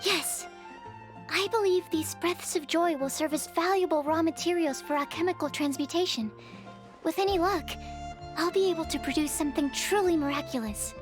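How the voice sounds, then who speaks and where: A woman's voice: very high-pitched, moderate pace. A woman playing a shy, earnest young alchemist in a video game, with polite, precise, scholarly phrasing. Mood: quietly hopeful. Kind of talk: video game character voice acting. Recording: background music under the voice.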